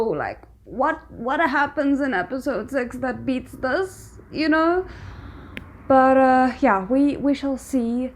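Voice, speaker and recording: High-pitched woman reacting casually. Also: darkish tone. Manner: reflective, analytical. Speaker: reaction video host.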